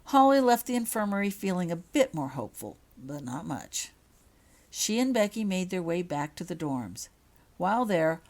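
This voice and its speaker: A woman's voice: low voice, a little mellow. A woman reading her own written story aloud as a scripted narration. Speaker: author reading own fan fiction.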